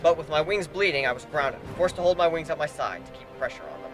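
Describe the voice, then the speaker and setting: A man giving an animated, theatrical dramatic reading of a first-person fan fiction story. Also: a little high, quick pace. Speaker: amateur story narrator. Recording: background music under the voice.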